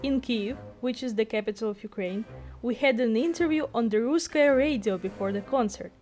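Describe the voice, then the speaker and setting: A woman's voice: on the high side, moderate pace, darkish tone, faint music behind. A woman working as a vlogger, narrating from a script and chatting with musicians as an interviewer.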